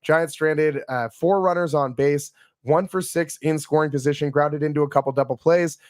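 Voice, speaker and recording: A man with a raspy, worn voice hosts a casual sports podcast, chatting through a game recap with frequent fillers and restarts. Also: fairly high pitch, steady tone, normal speed, no echo, studio quality. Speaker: podcast host.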